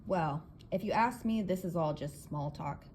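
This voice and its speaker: Skeptical, argumentative gentleman, read by a woman. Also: on the low side, unhurried, lightly acted. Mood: dismissive. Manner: doubting, contrary, emphatic. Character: argumentative, skeptical man at a dinner party. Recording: clear background noise.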